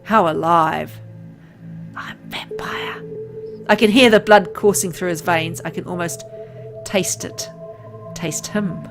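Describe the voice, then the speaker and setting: A woman voicing a gravely ill man who is turning into a hungry vampire, reading the first-person game text aloud in her own plain voice, with game music underneath. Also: fairly low pitch, slow, long pauses.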